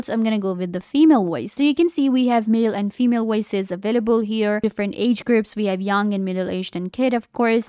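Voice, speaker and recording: A woman giving a casual step-by-step software tutorial as a voice-over presenter. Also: mid-range voice, clean tone, dry recording, studio quality.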